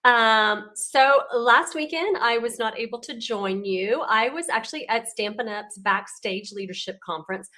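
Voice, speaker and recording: A woman, raspy and congested from illness, chatting casually as she demonstrates a card-making craft with frequent ums. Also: fairly high pitch, unhurried, few pauses, sharp tone.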